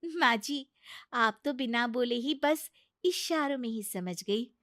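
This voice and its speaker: Woman voicing cheeky high-pitched young daughter-in-law. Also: expressive, slow, a bit bright, no room sound, studio quality. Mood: slyly teasing. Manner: pert, sarcastic back-talk. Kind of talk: Hindi comedy cartoon dubbing.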